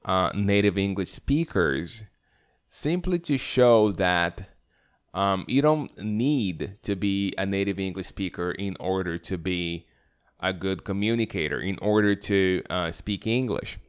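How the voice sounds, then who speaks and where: Brazilian-accented man, smooth low voice. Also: measured pace. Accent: Brazilian Portuguese. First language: Portuguese. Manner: relaxed, chatty, many fillers and restarts. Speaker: podcast host. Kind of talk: solo podcast monologue.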